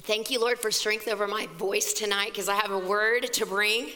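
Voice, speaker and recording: Hoarse woman pastor, fervent conversational sermon, bright tone, reverberant church hall. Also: mid-range voice, fairly even intonation, normal speed, a little hiss or hum. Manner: fervent, conversational preaching with exclamations. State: scratchy, hoarse voice. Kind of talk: sermon at a women's evening service. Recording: long reverb of a large hall.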